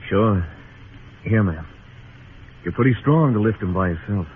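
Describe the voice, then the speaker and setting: A man's voice: on the low side, expressive, unhurried, phone-quality sound, slight background noise. An actor playing a tough, world-weary frontier marshal in a radio drama, terse and wry, narrating his own story in hardboiled style. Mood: stoic, weary. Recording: thin old radio recording with music.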